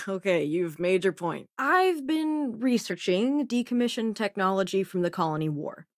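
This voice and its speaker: A woman's voice: mid-range voice, no echo, clean studio sound, close to an everyday voice. A woman playing a sassy, self-assured young scientist and smuggler companion in a space video game, with a wry, casual manner. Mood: cool, teasing. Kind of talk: acted video game dialogue lines.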